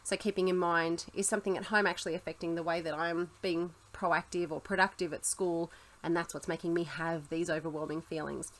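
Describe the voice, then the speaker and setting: A woman's voice: fairly low pitch, fairly even intonation, brisk, low-quality recording. Chatty, reassuring woman teacher vlogger. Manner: chatty, reassuring, occasional laughs. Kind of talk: casual advice vlog.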